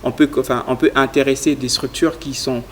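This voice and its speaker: A man's voice: medium pitch, a little fast, slight background noise. A young man from Congo, a guest explaining his youth leadership project in an interview, earnest and full of fillers. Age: young adult. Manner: earnest, speaks in a flat even tone with frequent fillers. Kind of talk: studio interview answers.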